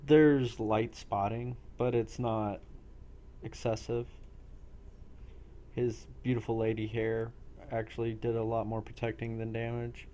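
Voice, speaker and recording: A man working as game master, narrating a role play game in a halting way with pauses between phrases. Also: on the low side, flat delivery, warm tone.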